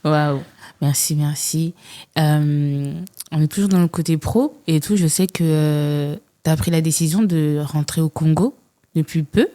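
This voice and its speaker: Dark, low-voiced woman hosting an interview. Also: measured pace. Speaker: podcast host. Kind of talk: casual interview.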